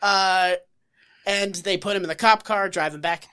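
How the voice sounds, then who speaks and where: A woman co-hosting a podcast, casually recapping a TV episode with profanity and quick acted-out quotes. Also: mid-range voice, smooth. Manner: animated retelling with put-on quotes, profane, laughing. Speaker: podcast co-host.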